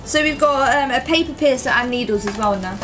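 A woman's voice: high voice, quick pace. A woman in her thirties presenting craft products in a casual, gushing chat, filmed in a small stockroom by a camera on the table. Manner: chatty, enthusiastic, gushing. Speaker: shop presenter.

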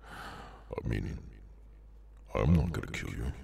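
Man voicing a very deep, gravelly demonic henchman. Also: expressive, a little mellow, full character voice. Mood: matter-of-fact menace. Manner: slow, low-key, casually sinister, sardonic. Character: menacing, weary male demonic henchman with tendrils, cultist. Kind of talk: ASMR audio roleplay.

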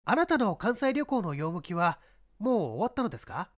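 A courteous police inspector in a radio mystery, acted by a man. He probes politely with widely moving, expressive intonation. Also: high-pitched, fairly quick. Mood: politely probing. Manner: courteous, inquisitive. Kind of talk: radio-drama style mystery reading.

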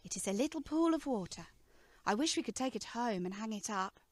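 A woman's voice: fairly high pitch, animated, a little fast, no room sound. A woman playing a playful, affectionate teenage daughter in a radio play, teasing and coaxing in a girlish way.

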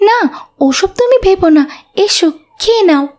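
A woman's voice: very high-pitched, lively intonation, normal speed, a little mellow. A woman voicing a kind, devout little schoolgirl with a polite, earnest manner in a cartoon. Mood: kind, reassuring. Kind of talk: Islamic cartoon dub acting.

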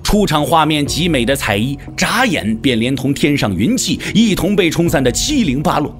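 A man's voice: on the high side, very animated, normal speed, soft background music. A man narrating a martial-arts novel as an audio drama, with theatrical, scripted storytelling delivery.

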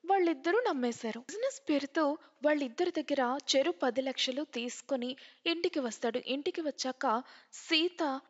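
Woman narrator for cartoon tales, really high voice, muffled audio. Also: normal speed. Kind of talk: scripted cartoon story narration. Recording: muffled, long hall reverb.

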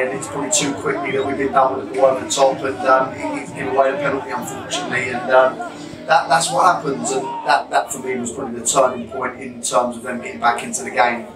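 A football manager in his thirties with a London accent, talking through a defeat in a measured, reflective way with many fillers and restarts. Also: medium pitch. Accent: London English. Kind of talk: post-match interview. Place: small changing room. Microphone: camera mic at a few metres. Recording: echoey small room, distant mic.

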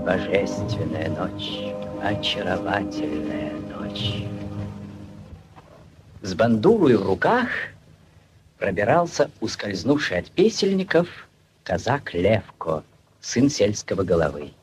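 A man narrating a 1940s radio play in a solemn, lyrical, theatrical manner with drawn-out phrasing. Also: fairly low pitch, wildly swooping pitch, full character voice. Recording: 1940s radio recording with music behind.

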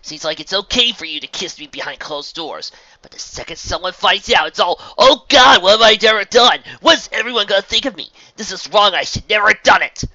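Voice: medium pitch, lively intonation, a little fast, hardly any pauses, bright, forceful tone, no echo, voice pushed up. A reader voicing a sarcastic, hot-tempered young woman, a former villainess who accuses and mocks, in a dull lo-fi recording. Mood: angry.

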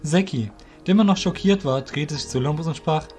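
A man narrating a scripted audio drama as storyteller, in a measured, literary reading manner. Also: on the high side, a little mellow, faint music behind.

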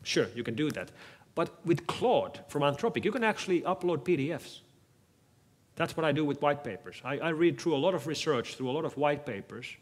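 A middle-aged man whose native language is Finnish, giving a measured, thoughtful keynote talk on stage in a lecture hall, speaking into a headset mic. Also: on the high side, expressive. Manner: measured, thoughtful, frequent restarts. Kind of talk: conference keynote with audience Q&A.

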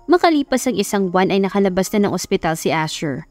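A woman's voice: medium pitch, lively intonation, fast talker, darkish tone, no echo, faint music behind. A woman narrating a scripted romance story as an audiobook narrator.

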